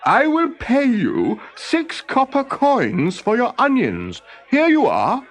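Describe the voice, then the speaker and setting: A man's voice: very high-pitched, huge pitch swings, slow pace, pitched above the performer's own voice, full character voice. A man voicing an earnest, self-assured male inventor who explains things in a children's story. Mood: eager. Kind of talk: storybook character voice.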